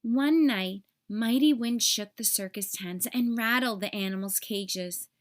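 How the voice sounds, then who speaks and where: Lady reading a kids story out loud, kinda high voice, expressive storyteller style. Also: measured pace. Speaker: read-aloud storyteller for children. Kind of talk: expressive scripted story narration.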